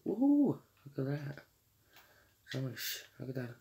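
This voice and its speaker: A teenage boy casually commenting while he plays a video game, chatty and quick to laugh and apologize. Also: medium pitch, very animated, gravelly, warm tone. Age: teens. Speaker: gamer streamer.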